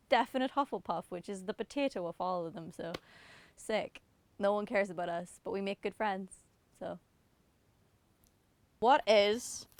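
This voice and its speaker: A woman's voice: medium pitch, fairly bright tone, clean tone, no echo. Self-mocking young Irish woman, dry close sound. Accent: Northern Irish. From Ireland. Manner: chatty, self-mocking, giggly asides. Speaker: singer answering autocomplete questions. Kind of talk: casual self-deprecating chat to camera.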